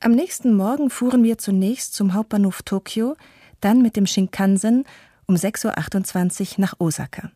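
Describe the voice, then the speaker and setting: A woman playing a shaken but resolute mother, giving measured, sober testimony in a radio play. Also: mid-range voice, dark tone, no room sound, studio quality, lightly acted. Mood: sober, grave. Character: shaken but resolute Tokyo mother recalling her flight.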